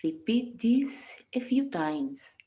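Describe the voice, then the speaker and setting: A woman reading a scripted guided meditation in a calm, measured, instructional manner. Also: fairly high pitch. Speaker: psychologist guiding a meditation.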